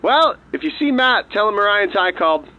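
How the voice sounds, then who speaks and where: A man's voice: sits high, sing-song, a little fast, background noise. A man playing a casual, suspicious radio host heard on a phone call in a comic radio drama.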